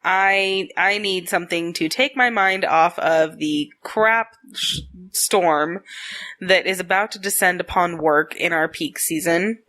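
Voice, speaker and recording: A woman's voice: lowish voice, unhurried, pushed and bright. A woman in her late twenties from Texas, co-hosting a casual podcast chat, laughing often and teasing her partner. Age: 20s. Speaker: podcast co-host. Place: home in Arizona.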